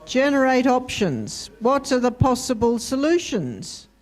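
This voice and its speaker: A woman's voice: high voice, big pitch swings, darkish tone. A woman co-leading a workshop, going through steps one by one and prompting the group with questions. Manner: slow, step-by-step, prompting the group. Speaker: workshop co-facilitator. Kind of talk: interactive workshop teaching. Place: university hall workshop.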